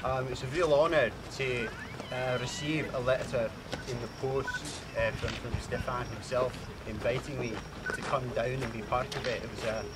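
A man voicing a hesitant Scottish gentleman giving a speech, halting and full of ums and uhs, on an outdoor stage at night. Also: mid-range voice, fairly even intonation, voice pushed down, lightly acted. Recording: loud outdoor noise.

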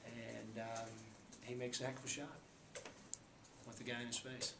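A man in his forties, a basketball coach, speaking at a postgame press conference in a subdued, halting way with many fillers. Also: mid-range voice, level tone, creaky. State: subdued after a loss. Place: small press room indoors. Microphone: table mic. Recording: echoey room, faint background hum.

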